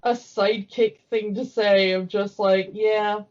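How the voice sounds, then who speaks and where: A woman's voice: mid-range voice, steady tone, unhurried, darkish tone. Woman, podcast host, casual enthusiastic commentary, muffled lo-fi recording. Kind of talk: casual chat, cartoon commentary. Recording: dull lo-fi audio.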